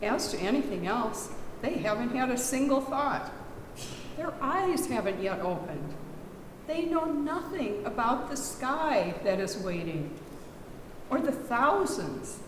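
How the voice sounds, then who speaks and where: A woman presenting and reading poetry aloud to an audience, with expressive, measured delivery. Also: a little high. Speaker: readers theater presenter and poetry reader. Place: reverberant church, live reading. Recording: roomy church hall, clear background noise.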